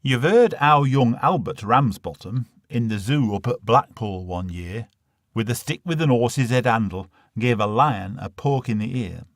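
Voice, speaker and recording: A man's voice: mid-range voice, expressive, measured pace, dry recording, studio quality. A man with a Northern English accent reading a comic dialect monologue in verse as a dramatic recital. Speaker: poetry reader.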